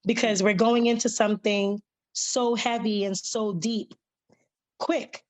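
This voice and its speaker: A woman's voice: medium pitch, fairly even intonation, takes it slowly, rough recording. A woman on a podcast panel reflecting at length on her past, rambling with fillers. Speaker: panel guest. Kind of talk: casual panel discussion.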